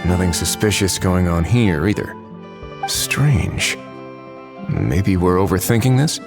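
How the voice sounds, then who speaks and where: An actor playing a calm, observant male strategist, measured and quietly wry, in video game dialogue. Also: deep voice, full character voice. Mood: watchful musing. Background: music.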